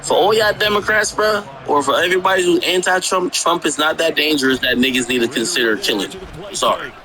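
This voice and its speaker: A man's voice: on the high side, brisk, bright, forceful tone, low-quality recording. A man from Jamaica ranting about politics in a blunt, profane way, often stammering and restarting his sentences. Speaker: streamer. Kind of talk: casual political rant.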